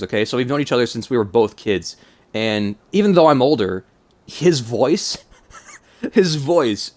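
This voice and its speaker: A man of twenty-two with a mild cold and stuffed-up nose, talking casually and self-consciously in a hesitant, rambling vlog. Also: mid-range voice. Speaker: YouTuber.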